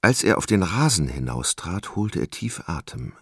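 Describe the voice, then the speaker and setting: A man narrating a literary radio drama in a dramatic, measured storytelling manner. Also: sits low, big pitch swings, slightly rough, dry recording, clean studio sound.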